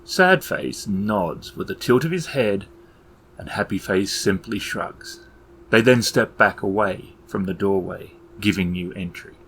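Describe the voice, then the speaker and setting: A man working as game master, narrating scenes in measured, descriptive, literary language. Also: fairly low pitch, animated, fairly bright tone. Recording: background ambience noise under voice.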